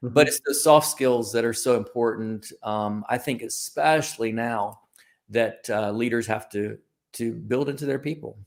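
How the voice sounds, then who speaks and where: A man's voice: mid-range voice, normal speed, gravelly. A man speaking as an interview guest, giving informal, discursive answers and often rephrasing himself as he goes. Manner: informal, discursive, self-correcting. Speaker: guest, creative company founder.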